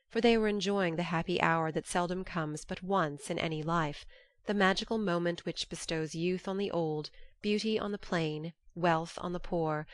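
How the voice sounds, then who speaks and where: A woman's voice: on the low side, dry recording. A woman reading scripted literary narration as an audiobook narrator.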